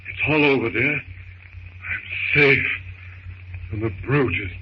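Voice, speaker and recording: A man's voice: mid-range voice, takes it slowly, close to an everyday voice. A man acting a shaken husband who urgently soothes his wife in an old radio melodrama, on a muffled, noisy recording. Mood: soothing. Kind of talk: 1930s radio drama acting.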